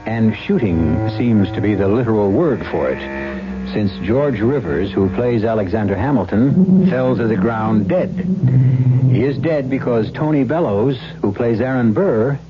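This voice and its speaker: A man hosting a 1970s radio drama, narrating in a measured, dramatic way that teases the suspense. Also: on the low side, animated. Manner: deliberate, measured, teasing suspense. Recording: dull vintage radio broadcast. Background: music.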